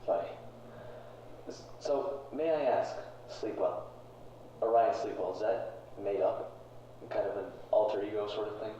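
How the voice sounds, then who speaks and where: A man playing a dry, skeptical interviewer in a found-footage horror film, speaking in a halting, probing way. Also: fairly low pitch, mellow, lightly acted. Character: dry, skeptical male interviewer questioning a tech CEO. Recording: echoey, distant, dull hissy 1980s tape.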